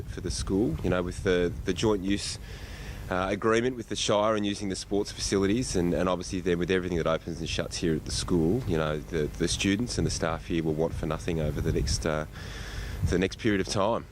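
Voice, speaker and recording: Deep-voiced Australian man, noisy outdoor interview. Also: fairly even intonation, fairly quick. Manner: hesitant, rambling, filler-heavy delivery. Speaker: school principal being interviewed. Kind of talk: informal on-location interview. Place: outdoors at a school site. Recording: outdoor location, loud traffic-like ambient noise.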